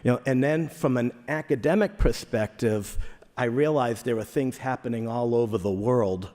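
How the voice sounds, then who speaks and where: A man's voice: mid-range voice, moderate pace, darkish tone. A middle-aged man with a Boston accent giving a conversational conference talk, often repeating words as he restarts a phrase. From Boston area, Massachusetts. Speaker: conference speaker. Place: large conference hall. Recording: echoey hall reverb.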